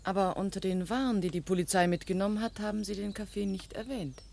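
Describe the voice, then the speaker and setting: Actress as inquisitive young woman sleuth for radio crime play: mid-pitched, composed, direct, self-possessed. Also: fairly even intonation, fast, creaky, darkish tone, a little hiss or hum, lightly acted. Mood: probing. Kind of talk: German radio crime drama acting.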